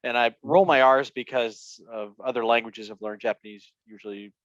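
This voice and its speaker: A man co-hosting a casual golf podcast, going over the news with a lot of fillers and pauses. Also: mid-range voice, steady tone, a bit bright, no room sound, lo-fi sound. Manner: hesitant, many fillers. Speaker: podcast co-host. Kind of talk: casual sports chat.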